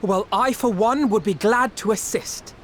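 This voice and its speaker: A man's voice: sits high, unhurried, slight background noise. An actor voicing an eloquent, earnest young man scholar in a video game, speaking in a polished, formal way.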